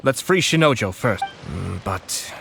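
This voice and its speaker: A man playing a calm, shrewd young noble swordsman in an anime game, speaking with measured, polite authority. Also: lowish voice, creaky, slight background noise, exaggerated voice. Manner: measured, polite, quietly commanding.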